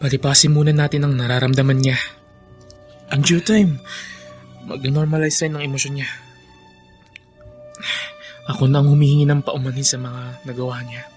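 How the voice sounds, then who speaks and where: An actor in a Filipino radio drama playing an earnest plantation master and older brother, authoritative yet placating. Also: male voice, medium pitch, fairly even intonation, moderate pace, long pauses, darkish tone, soft background music, lightly acted. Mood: calm, apologetic.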